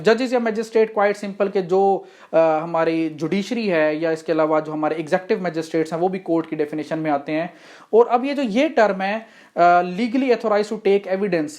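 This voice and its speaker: Pakistani lady teaching law, mid pitched voice, explains stuff step by step like a teacher. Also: a little mellow. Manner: didactic, poses questions and answers them. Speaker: law lecturer. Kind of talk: explanatory lecture with examples.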